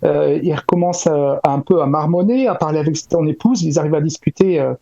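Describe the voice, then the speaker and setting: A man's voice: on the high side, fairly quick, creaky, dark tone. A man casually relaying news as a show panelist, on dull lo-fi audio.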